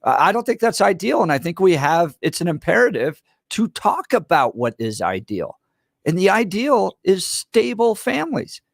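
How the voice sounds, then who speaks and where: A man's voice: high voice, sing-song, normal speed, gravelly, dry recording. A man hosting a talk radio show, making emphatic points in list-like runs from a studio mic. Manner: emphatic, lectures in lists. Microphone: studio microphone.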